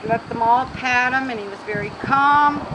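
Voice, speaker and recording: Windy outdoor recording, distant mic, woman, fairly high voice, casual unscripted talk. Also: moderate pace. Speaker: dog trainer at animal shelter.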